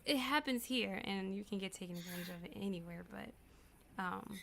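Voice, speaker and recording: A woman on a casual panel chat, hoarse from losing her voice, speaking earnestly. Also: medium pitch, moderate pace, a bit bright, slight background noise. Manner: earnest, laughs between points. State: hoarse, voice coming back after losing it.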